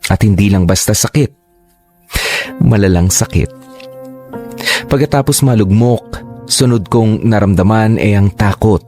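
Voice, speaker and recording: A low, mellow male voice narrates a radio drama as a man plays a young man recalling his illness. He speaks earnestly and confessionally, unhurried and heartfelt. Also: faint music behind, lightly acted. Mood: somber.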